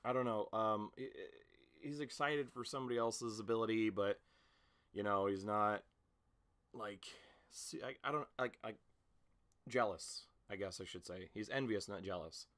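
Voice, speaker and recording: Casting: male amateur voice actor, slightly low clear voice, informal vlog talk, self-conscious and meandering. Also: moderate pace, a bit bright. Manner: hesitant, rambling, self-conscious. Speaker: amateur voice actor recording an audition video. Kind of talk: casual vlog chat. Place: small home room with a mattress against the door.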